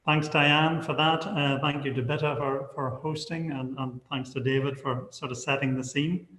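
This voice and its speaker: A man's voice: mid-range voice, fairly even intonation, normal speed, pushed and bright. A middle-aged man with a Northern Irish accent, an architect, introducing himself in a friendly, plain-spoken way on a webinar over a video call. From Northern Ireland. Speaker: architect and board member. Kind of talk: online webinar talk. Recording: roomy echoey video call audio.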